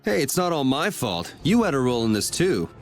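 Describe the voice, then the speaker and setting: Wry, laid-back young rebel hero. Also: male voice, medium pitch, big pitch swings, a little fast, soft background music. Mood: wry, irritated. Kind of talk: dubbed anime video game voice acting.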